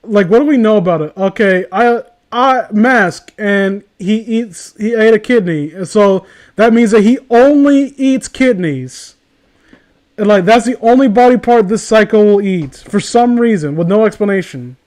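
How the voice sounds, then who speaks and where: Male co-host, high-pitched voice, sarcastic and profane, casual comedic commentary and read-aloud. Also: measured pace, a little mellow. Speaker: co-host reading and mocking fan fiction.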